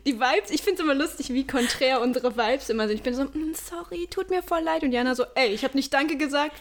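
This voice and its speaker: A woman's voice: sits high, a little fast, a little hiss or hum. A woman in her early twenties co-hosting a casual podcast chat, laughing often and mixing in English slang. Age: 20s. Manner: giggly, mixes English slang. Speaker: podcast co-host.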